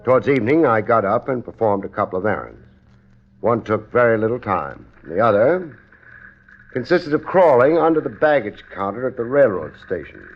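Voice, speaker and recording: An actor playing a cool, wry private investigator who leads and narrates a 1950s radio detective drama in a laconic, casual way. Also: male voice, medium pitch, sing-song, moderate pace. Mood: dry narration. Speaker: lead and narrator. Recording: muffled 1950s radio recording, music.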